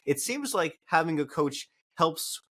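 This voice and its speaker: A man's voice: on the high side, lively intonation, measured pace, a bit bright, husky. A man hosting an interview podcast, conversationally summing up and affirming, often checking in with a tag question. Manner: affirming, summarizing, adds "right?" as a tag. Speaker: podcast host.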